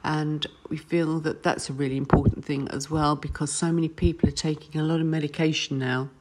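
Enthusiastic woman with a low voice. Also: little pitch movement, brisk, background noise. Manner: warm, enthusiastic, repeats "lovely". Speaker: guest, retreat owner. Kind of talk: casual podcast chat.

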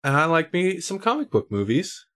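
A man's voice: a little high, very animated, moderate pace, a bit bright. A man hosting a casual film discussion on a podcast. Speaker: podcast host.

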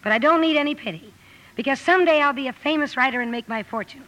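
A headstrong teenage tomboy from vintage radio drama. The voice is high and forceful, with blunt, theatrical delivery and big declarations. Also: female voice, fast talker, poor audio. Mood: dramatic, determined. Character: fiery tomboyish teenage sister, ambitious would-be writer. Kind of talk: 1950s radio drama acting. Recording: vintage 1950 radio broadcast.

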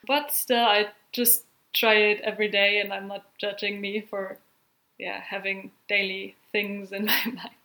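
Young german-native woman, bright clear voice, pauses a lot and laughs while she talks. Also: medium pitch, little pitch movement, poor audio. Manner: thoughtful, laughs often, halting phrasing. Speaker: athlete guest. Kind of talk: casual interview answers.

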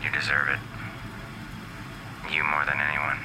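Very deep, haunted scientist. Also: male voice, monotone, noisy background. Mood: menacing, resolved. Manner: brooding, deliberate, ominous. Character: haunted, sleepless, bitter male scientist turned menacing. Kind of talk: audio drama monologue as a voicemail.

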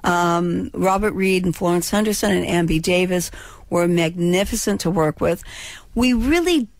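A woman's voice: fairly low pitch, moderate pace, no room sound. A woman in her sixties from California, a former child actor chatting and joking as a radio guest, with a slight lisp. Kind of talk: casual radio interview chat.

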